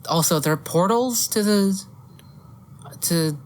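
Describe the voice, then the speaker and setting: A woman's voice: medium pitch, slow, slight background noise. A woman co-hosting a podcast, chatting casually about pop culture in an opinionated, argumentative way with casual swearing. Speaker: podcast co-host. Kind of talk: casual chat about movies and games.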